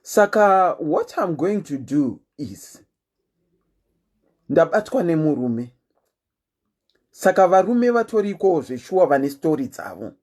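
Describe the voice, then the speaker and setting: A Zimbabwean woman hosts her own show, talking straight to her listeners in a casual, storytelling way. Her voice is expressive and animated, rising and falling a lot as she chats, with a sprinkling of "eh" between thoughts. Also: low-pitched, a little slow, pauses often. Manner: animated, chatty storytelling with frequent "eh" fillers. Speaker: host.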